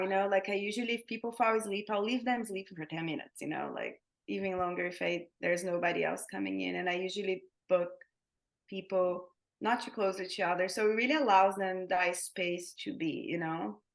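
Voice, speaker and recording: A woman's voice: mid-range voice, steady tone, fairly quick, creaky, a bit bright. A woman who teaches yoga and Thai massage, talking casually as a guest in an interview, with many fillers and restarts.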